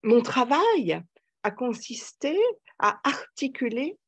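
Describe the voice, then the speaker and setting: A woman's voice: a little high, lively intonation, husky. Older female guest. Thoughtful, emphatic psychoanalyst in conversation, feeling out each phrase. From Paris, France. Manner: emphatic, reflective, halting as she searches for words. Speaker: psychoanalyst and author, podcast guest. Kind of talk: conversational interview answers.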